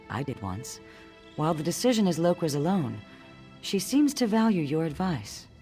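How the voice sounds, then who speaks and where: An actress playing a wise old orc grandmother in a video game, speaking as a deliberate, sage clan elder. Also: low-pitched, a little mellow. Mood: counselling. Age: older. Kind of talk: video game dialogue acting.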